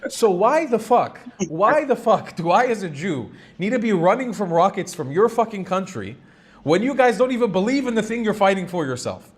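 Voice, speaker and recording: A man's voice: high-pitched, sing-song, normal speed. A man hosting a live call-in stream, arguing his opinions in a blunt, emphatic, sometimes sweary way. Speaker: live stream host taking calls.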